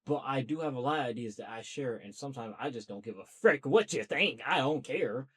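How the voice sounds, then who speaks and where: A man's voice: mid-range voice, animated, fairly quick, fairly bright tone, slightly rough. A young man talking earnestly and at length to camera about starting a podcast, in a loose, chatty way. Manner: talkative, earnest, self-motivating. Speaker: podcast host. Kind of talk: casual solo monologue.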